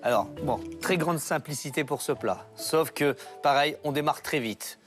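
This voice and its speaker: A man's voice: on the high side, normal speed. A man demonstrating a recipe as a chef, giving step-by-step instructions and checking he is understood. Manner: instructive, checking in with short tags. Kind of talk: cooking demonstration. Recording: music behind the voice. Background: background music.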